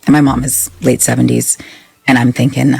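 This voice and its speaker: A middle-aged man, an interview guest, earnestly retelling a personal story in a halting way. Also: medium pitch, little pitch movement, creaky, a little mellow, husky, no room sound.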